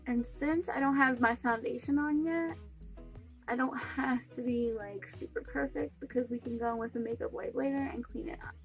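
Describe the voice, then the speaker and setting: A woman's voice: high-pitched, moderate pace, faint music behind. A young woman with a cold and a stuffy nose, chatting through a makeup tutorial step by step. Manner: chatty, friendly step-by-step explaining. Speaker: beauty vlogger.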